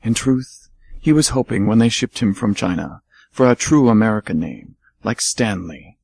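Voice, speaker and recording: A man co-hosting an amateur radio theatre show, reading mock-epic narration in a solemn, deliberate way. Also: lowish voice, lively intonation, gravelly, a little mellow, husky, dry recording. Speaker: radio theatre co-host and performer.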